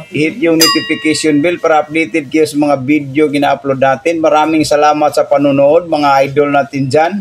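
A young man from the Philippines chats easily through a phone mic in a small indoor room. He walks the listener through the chart with friendly, repeated calls of "idol", pausing and restarting as he points things out. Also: fairly high pitch. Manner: chatty, keeps addressing the viewer as "idol". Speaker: fishing channel host. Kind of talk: casual screen-recorded chart walkthrough.

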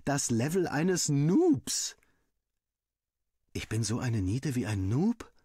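An indignant, stubborn schoolboy from a storybook, put on by a male reader. The pitch jumps well above a man's usual talk and the words are stretched out. The sound is dry and close. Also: very animated, takes it slowly, warm tone, slightly rough, no echo, full character voice. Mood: despairing. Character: frustrated, stubbornly determined schoolboy friend.

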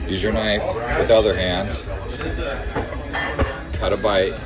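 A man's voice: on the low side, little pitch movement, unhurried. Upbeat man vlogging on camera. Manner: upbeat, talks his mother through her meal step by step. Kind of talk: casual travel vlog. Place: diner in an old train car, then outdoors by it. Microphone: handheld camera at arm's length.

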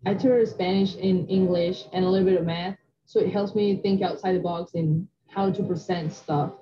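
A young woman from Mexico talks about playing soccer and studying, relaxed and friendly, with a slightly low voice. The recording is a bit dull. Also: normal speed. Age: young adult. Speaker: student athlete. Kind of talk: documentary interview.

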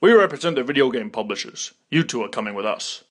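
A man's voice: medium pitch, animated, quick pace, fairly bright tone. A man voicing an officious, businesslike publisher's agent in a cartoon. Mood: stern. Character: officious male agent of video game publishers. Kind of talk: cartoon character acting.

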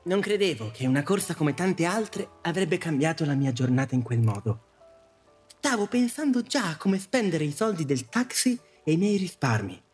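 A man's voice: a little high, big pitch swings, moderate pace, darkish tone, faint music behind. A man acting a wistful, reflective and hesitant passenger in the afterlife, in an audio drama. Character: wistful male passenger in the afterlife, longing for love. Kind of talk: audio drama narration and dialogue.

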